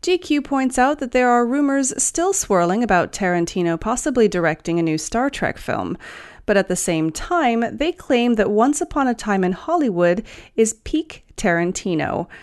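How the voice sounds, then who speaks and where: Woman reading podcast news with lively, swooping intonation. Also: medium pitch, normal speed. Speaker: podcast host and newsreader. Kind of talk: scripted entertainment news narration.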